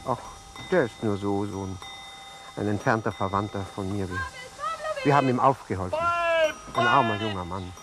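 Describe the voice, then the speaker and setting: An actor playing a fawning, anxious, henpecked husband who stammers and pleads hesitantly in a 1960s radio drama. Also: male voice, on the low side, moderate pace, long pauses, loud music behind the voice, close to an everyday voice. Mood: flustered. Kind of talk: 1960s German radio play acting. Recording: slightly noisy vintage radio recording.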